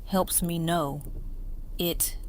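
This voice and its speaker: A woman playing a shattered, grieving survivor in an audio drama, subdued and halting. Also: on the low side, steady tone, a little hiss or hum. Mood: despairing.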